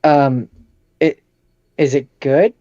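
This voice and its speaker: Guy cohost chatting casual n slangy, bit high voice, dry kinda dull mic sound. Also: steady tone, slow, mellow, dry recording. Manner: informal, slangy. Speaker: podcast co-host. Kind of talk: casual chat.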